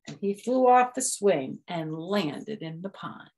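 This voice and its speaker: A woman's voice: lowish voice, lively intonation, slow pace, a little mellow. A middle-aged woman hosting storytime, reading a picture book aloud and adding simple explanations for young children. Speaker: storytime host. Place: small room at home.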